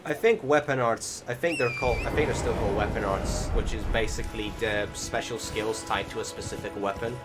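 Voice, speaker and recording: A man's voice: fairly low pitch, fairly quick, hardly any pauses. A man giving a casual, informal voice-over commentary on gameplay, rambling and hesitant, with some swearing. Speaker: game video commentator. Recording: loud music behind the voice. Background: loud music.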